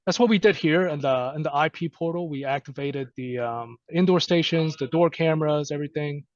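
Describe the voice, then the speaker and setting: Guy doing a casual software setup walkthrough, kinda halting, dry close sound but dull lo-fi audio. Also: a little high, dry recording. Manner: halting, conversational, checks in often. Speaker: technical trainer. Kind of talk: informal screen-share software walkthrough.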